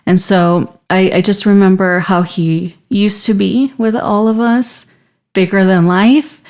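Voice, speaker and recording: A woman from Mexico, a native Spanish speaker, talking as a guest in an interview with a halting manner and frequent restarts. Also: medium pitch, fairly even intonation. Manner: warm, halting, many restarts. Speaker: call center lead, guest. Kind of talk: interview conversation.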